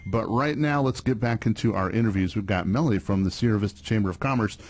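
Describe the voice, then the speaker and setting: A man's voice: lowish voice, fast, a little mellow, a little hiss or hum. An American man hosting local radio, moving through thanks, announcements and interview prompts in a conversational presenter style. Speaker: radio host.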